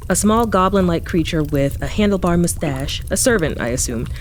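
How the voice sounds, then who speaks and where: A woman's voice: low-pitched, a little mellow. A woman playing a wry, irreverent adventurer, narrating her story in the first person in a casual, sardonic way for an audio drama. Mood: wry, observant. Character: wry, irreverent female adventurer and occult investigator.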